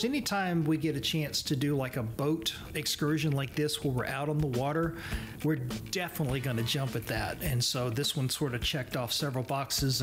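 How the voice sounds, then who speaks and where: Travel vlogger: a man with a slightly high voice, loose and chatty, talking with fillers to a 360 camera out on a boat. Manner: rambling, conversational, with fillers. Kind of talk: casual vlog narration. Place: outdoors on a boat in a lagoon.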